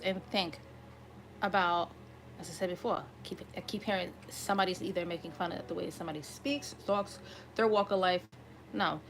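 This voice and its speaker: A woman reads tarot for an online audience, speaking off the cuff at a middling pitch. Her voice has a slight rasp and she often checks in with her listeners. There is clear ambient noise behind her. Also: fairly bright tone, low-quality recording. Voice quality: slightly raspy. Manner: conversational, often checking in with "right?". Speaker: tarot reader hosting an online collective reading. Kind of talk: improvised tarot card reading addressed to viewers.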